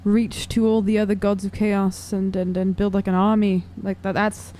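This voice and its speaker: A woman's voice: mid-range voice, little pitch movement, normal speed, mellow. A woman playing a tabletop game, chatting casually in character in a hesitant way that repeats words. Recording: faint hum, slight background noise.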